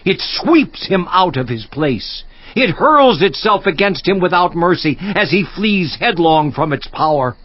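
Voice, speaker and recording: A man's voice: high voice, sing-song, measured pace, full character voice. An actor playing an anguished, defiant righteous man in a theatrical, emphatic scripture monologue. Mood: grave. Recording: slightly muffled audio.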